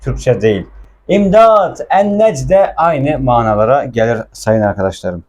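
A man's voice: medium pitch, expressive, unhurried, a little mellow. A man giving a casual language lesson, explaining film dialogue and repeating words with their translations. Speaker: Arabic teacher.

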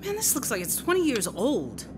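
A woman's voice: a little high, huge pitch swings, moderate pace, noisy background. An actress playing a mocking, exasperated young woman with a sardonic, slangy manner in a radio drama. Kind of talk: naturalistic radio drama dialogue.

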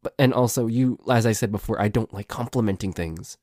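A man's voice: fairly low pitch, brisk, a little mellow, no echo, studio quality, lightly acted. A man playing a flustered, grumbling but secretly affectionate boyfriend in an ASMR roleplay, stammering and grudging as he talks. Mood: flustered, defensive. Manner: stammering, hesitant, grudging, sweary.

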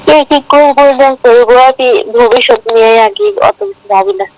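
A woman's voice: high voice, animated, normal speed, a little mellow. A woman with a Bangladeshi accent chatting casually and intimately on a phone call. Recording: noisy phone call recording.

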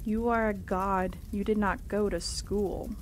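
A woman's voice: medium pitch, fairly even intonation, a little slow, close to an everyday voice. An actress playing a determined, grieving young woman seeking truth in an audio drama. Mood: insistent. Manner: earnest, insistent.

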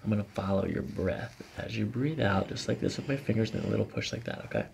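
A man in his thirties speaks as a chiropractor, calmly talking a patient through each step in short, repeated cues. His voice is heavily fried and gravelly. The recording has clear noise and small clicks in an office room. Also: lowish voice, quick pace. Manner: soothing, repetitive short cues. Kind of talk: quiet hands-on instruction to a patient, ASMR. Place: small carpeted treatment office. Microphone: boom-arm microphone near the treatment table.

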